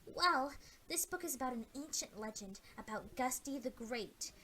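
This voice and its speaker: A woman's voice: sits high, animated, moderate pace, sharp tone, a little hiss or hum. A woman voicing a dutiful young female pony princess in an earnest, emotional way.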